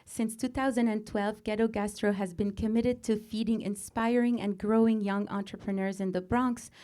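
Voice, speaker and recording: A woman in her forties, born in Lebanon and raised speaking Arabic, introduces her show to a live audience. Her voice is mellow and relaxed, at a middle pitch. She speaks earnestly and deliberately, pausing and restarting as she goes. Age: 40s. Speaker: host. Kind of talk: opening monologue to a live audience.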